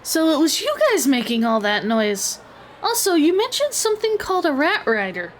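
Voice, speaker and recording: Woman doing a little gnome girl adventurer, super high lively voice, plucky, audio drama. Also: moderate pace, a little hiss or hum. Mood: curious, friendly. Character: small, plucky young female gnome adventurer. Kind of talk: dramatized fantasy audio story.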